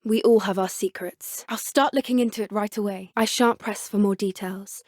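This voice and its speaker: A woman's voice: mid-range voice, fairly even intonation, moderate pace, a little mellow, no room sound, clean studio sound, lightly acted. A woman voicing an earnest, plucky teenage witch in a video game, with a British accent and a polite, slightly old-fashioned way of talking. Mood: concerned, earnest. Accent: British English. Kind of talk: scripted game character dialogue.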